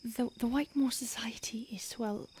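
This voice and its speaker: Ancient secretive vampire woman, voiced by a woman, hushed whisper throughout, higher-pitched, faint insect noise behind. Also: steady tone, moderate pace, natural voice. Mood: hushed urgent. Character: ancient female vampire on the run, secretive, urgent. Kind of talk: audio roleplay.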